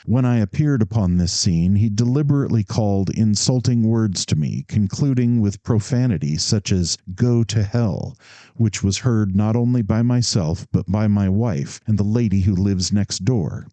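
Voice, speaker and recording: A man voicing a pompous older male neighbor, reading out a formal complaint letter slowly and indignantly. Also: fairly low pitch, mellow, no echo, natural voice.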